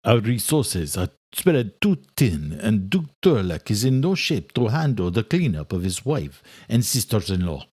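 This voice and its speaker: A man's voice: on the low side, sing-song, unhurried, hardly any pauses, darkish tone, slightly rough, dry recording, exaggerated voice. An angry vampire duke, acted by a male narrator challenges those above him. He sounds formal, blunt and accusing, in a dramatic audiobook style.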